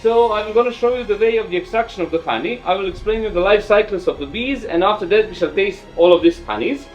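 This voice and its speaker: A man's voice: high-pitched, quick pace, highs cut off, faint music behind. A man giving a beekeeping tour to visitors, explaining honey making with playful jokes. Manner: playful, jokes with visitors, slightly non-native phrasing.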